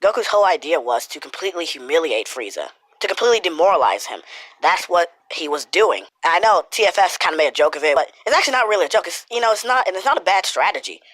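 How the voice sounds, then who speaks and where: A man's voice: high-pitched, sing-song, fast talker, a bit bright, no room sound. A man with a cold and a stuffy nose, rambling through a casual commentary with lots of swearing and filler words. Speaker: YouTuber commentator.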